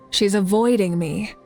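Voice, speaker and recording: A woman playing a composed, kindly leader of a warrior nation in an anime-style game, speaking in a measured, thoughtful way. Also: medium pitch, warm tone. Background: faint music.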